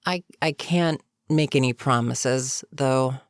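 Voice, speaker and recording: A weary goblin captain performed by a voice actor speaks in a creaky, gravel-edged voice at a leisurely pace. The delivery is conversational fantasy roleplay, sardonic and amused but businesslike. The sound is very dry, with no room around it. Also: medium pitch, slow pace, no echo. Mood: wry, matter-of-fact. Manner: casual, sardonic, chatty, sighing asides. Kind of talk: immersive character roleplay monologue, conversational.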